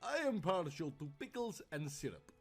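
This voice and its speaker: Storybook lieutenant, acted by a male narrator: honorable, uneasy, courteous, formal, with animated pitch. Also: normal speed, faint music behind. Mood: reluctant. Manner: polite, formal, hesitant. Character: polite, uneasy, honorable Russian army lieutenant.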